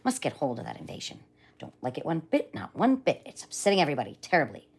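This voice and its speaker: A woman's voice: low-pitched, huge pitch swings, fast talker, husky, heavily acted. Woman voicing suspicious old boar, muttering and whispery, read-aloud storybook. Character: suspicious grumbling male wild boar.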